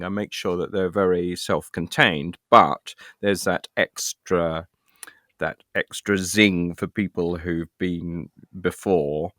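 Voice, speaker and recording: A man's voice: deep voice, fairly bright tone, dry recording, studio quality. A middle-aged man explaining his work conversationally as an interview guest, often repeating words as he searches for the next one. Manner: halting, stammered repetitions as he searches for words. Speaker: interview guest, actor and writer.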